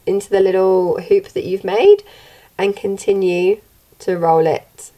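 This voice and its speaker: A young woman with a slightly croaky voice, giving a gentle, steady step-by-step craft tutorial from home. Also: mid-range voice. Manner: gentle, steady instructional delivery. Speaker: craft channel host. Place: home room, indoors.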